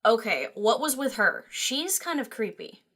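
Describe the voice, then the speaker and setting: Woman voicing a bright, brash party-girl pony. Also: on the high side, very animated, unhurried, heavily acted. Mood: brash, irreverent. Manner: flippant, teasing. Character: brash, nonchalant young party-loving DJ mare. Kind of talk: fan audio drama dialogue.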